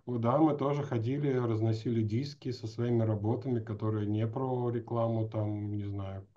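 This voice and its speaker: Mellow-voiced man in his forties, dull audio. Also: on the low side, fairly even intonation, moderate pace. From Astrakhan, Russia. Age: 40s. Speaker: host, interviewer. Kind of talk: casual conversational interview. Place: small room indoors, video call. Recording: slightly dull video-call audio.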